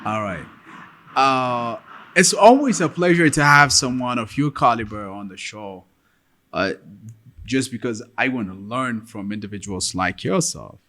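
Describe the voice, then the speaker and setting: Man hosting a podcast, measured questions. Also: medium pitch, lively intonation, bright, forceful tone. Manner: measured, with many fillers and affirmations. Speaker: podcast host. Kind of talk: interview questions.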